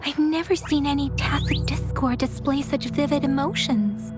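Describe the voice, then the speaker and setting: Young female game companion, acted by a woman, high voice, earnest and protective, music underneath. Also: normal speed, close to an everyday voice. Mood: thoughtful. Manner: earnest, concerned. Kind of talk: video game voice acting. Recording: music behind the voice.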